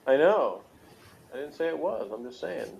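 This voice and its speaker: A man's voice: a little high, vocal fry, a little mellow, dull audio, a little hiss or hum. A man co-hosting a review show in casual, joking chat with a teasing manner. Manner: teasing, jokey.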